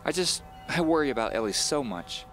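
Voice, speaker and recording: A man's voice: mid-range voice, big pitch swings, brisk, a bit bright, soft background music, pitched above the performer's own voice, low-quality recording. Man putting on a chirpy, folksy older lady neighbour. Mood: fretful. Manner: folksy, effusive, fussing. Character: cheerful, chatty, nosy older woman neighbour, about seventy.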